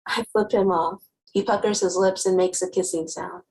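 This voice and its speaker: A woman as a wry teen girl, slightly gravelly. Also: fairly low pitch, steady tone, normal speed, darkish tone, a little hiss or hum, natural voice. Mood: playful. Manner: wry, conversational storytelling. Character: wry, guarded teenage girl narrator with sharp tongue. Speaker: actor. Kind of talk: first-person dramatic reading of a novel.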